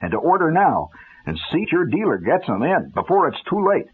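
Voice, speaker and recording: Storyteller guy doing a hearty american cowboy hero, pushed way up high, loud folksy hard sell, tinny old radio. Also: huge pitch swings, fairly quick, a little hiss or hum. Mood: urgent. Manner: booming, folksy, hard-selling. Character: hearty square-jawed cowboy hero pitchman, insistent. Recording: muffled phone-like old radio recording.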